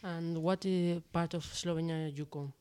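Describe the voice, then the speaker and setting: A woman's voice: lowish voice, steady tone, measured pace, a little mellow, clean tone. A woman student interviewer asking prepared questions in tentative non-native English.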